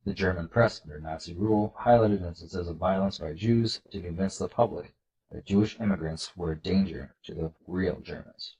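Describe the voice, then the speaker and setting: A man with a congested, nasal voice from a cold, hosting a video and reading slides aloud in an earnest, measured way. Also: low-pitched, a little mellow, muffled recording. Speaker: political video host.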